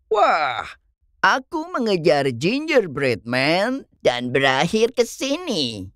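A jolly, chuckling grandpa shark in a children's cartoon. His voice leaps wildly up and down, and he speaks very slowly, with faint music behind. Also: fairly high pitch, huge pitch swings, a bit bright, no echo, exaggerated voice. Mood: cheerful.

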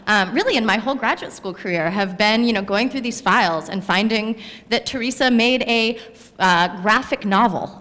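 A woman's voice: mid-range voice, fairly bright tone. Chatty young woman in a hall. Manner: chatty, frequent "you know" and "right?". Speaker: panelist. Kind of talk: panel discussion. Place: lecture hall with audience. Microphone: stand mic at a panel table. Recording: long reverb of a hall.

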